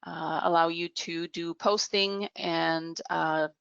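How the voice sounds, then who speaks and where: A woman giving an explanatory business software demonstration in measured phrases with hesitant pauses. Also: fairly low pitch, fairly even intonation, creaky, clear, resonant tone, no room sound. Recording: dull, muffled lo-fi audio.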